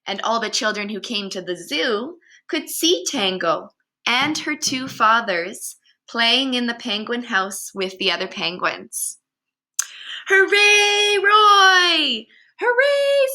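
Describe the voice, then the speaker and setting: A woman with a high, bright voice reads a children's story expressively. Her pitch swings widely as she tells it. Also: slow. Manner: expressive, dramatic delivery. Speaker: school staff member reading aloud. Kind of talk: children's storybook read-aloud.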